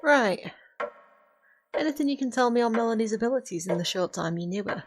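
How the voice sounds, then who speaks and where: A woman playing a centuries-old witch in an audio drama, commanding and formal, with measured, theatrical phrasing as she mentors a novice. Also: on the high side, lively intonation, background noise, lightly acted. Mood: curious, probing. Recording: voice over prominent background music.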